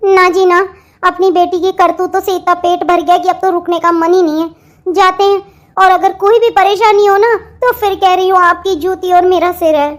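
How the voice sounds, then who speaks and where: A stern cartoon mother from a village family drama, acted out by a woman. Her voice is extremely high, almost childlike in pitch. She scolds dramatically and hits her words with emphasis. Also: fairly even intonation, normal speed. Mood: indignant. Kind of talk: melodramatic family cartoon dialogue.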